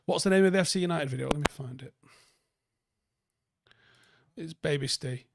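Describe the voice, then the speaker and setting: Male livestream host, softened Mancunian accent, creaky mid-pitched voice, close dry sound, blunt and sweary off-the-cuff chat. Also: moderate pace, no echo. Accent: northern English, softened Manchester. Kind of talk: casual chat, answering viewer comments.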